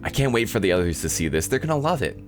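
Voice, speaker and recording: A man's voice: fairly low pitch, big pitch swings, rapid-fire, music in the background. A man voicing a perky, earnest young anime girl in a visual novel, in an eager, gushing manner. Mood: delighted. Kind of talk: visual novel character dialogue.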